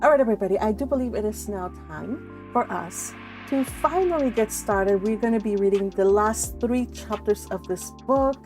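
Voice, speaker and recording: A woman hosting a book read-along, moving between casual, chatty talk and expressive reading aloud, with informal asides. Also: medium pitch, moderate pace, faint music behind. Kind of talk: casual livestream chat and expressive audiobook-style reading aloud.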